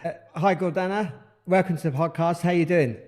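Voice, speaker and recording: A man's voice: a little high, fairly quick, a little mellow. A man hosting a podcast interview, asking questions in a conversational, slightly hesitant way. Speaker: podcast host.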